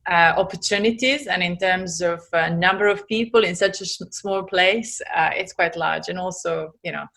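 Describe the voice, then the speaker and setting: A woman in her forties speaks with a Serbian accent, her voice sitting a little low. She talks in a relaxed, conversational way as an interview guest. She often stops on fillers as she hunts for the next word. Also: normal speed, vocal fry. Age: 40s. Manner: hesitant, many fillers, laughs easily. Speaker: guest entrepreneur. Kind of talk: casual interview chat.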